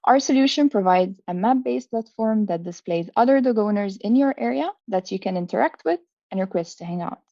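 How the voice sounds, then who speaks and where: A woman speaking as a startup co-founder, delivering a rehearsed elevator pitch over an online call with dull sound. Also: expressive, brisk, hardly any pauses, mellow, no echo. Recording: dull, dry call audio.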